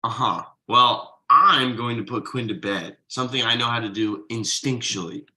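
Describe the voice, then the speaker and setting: A man's voice: medium pitch, moderate pace, pushed and bright. A man playing a testy, sarcastic father in a sitcom table read, dry and needling. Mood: indignant, sarcastic. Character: testy, sarcastic husband and father of a small girl.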